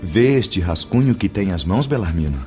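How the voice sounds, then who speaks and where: A man acting in a radio drama as a grave, patient spirit mentor, speaking in a measured, didactic way with authority. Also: sits low, expressive, dark tone, soft background music. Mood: solemn, explaining. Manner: solemn, didactic, measured delivery.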